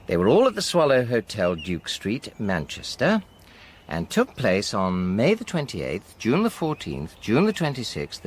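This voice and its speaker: Animated British middle-aged husband. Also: male voice, on the low side, slight background noise. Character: middle-aged husband and father, wry, earnest. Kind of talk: naturalistic radio drama acting.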